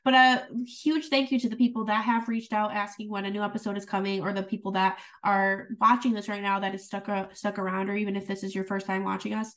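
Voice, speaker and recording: A woman's voice: mid-range voice, flat delivery, quick pace, hardly any pauses, recorded from a distance. Giggly young woman podcast co-host. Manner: giggly, filler-heavy, with many "like"s. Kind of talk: casual chat.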